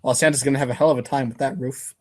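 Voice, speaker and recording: A man's voice: medium pitch, steady tone, racing. A man reading scripted comic narration as the narrator of a reader's theater show.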